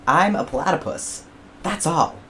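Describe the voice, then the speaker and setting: Brusque platypus, put on by a woman, emphatic, exasperated, lively. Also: rumbling low, normal speed, slight background noise, exaggerated voice. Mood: fed up. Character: testy egg-laying platypus, blunt and fed up.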